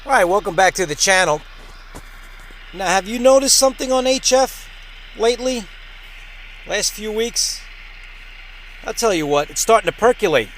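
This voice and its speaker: A man's voice: sits high, animated, moderate pace, pauses often, a bit bright, slight background noise, poor audio. A man hosting a ham radio channel in an upbeat, emphatic announcer-like way. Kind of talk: upbeat vlog presenting.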